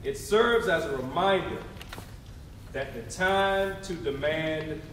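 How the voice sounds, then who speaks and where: A man's voice: on the high side, takes it slowly. A man giving formal scripted narration as part of a readers theatre performance. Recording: very echoey, distant mic, noisy room.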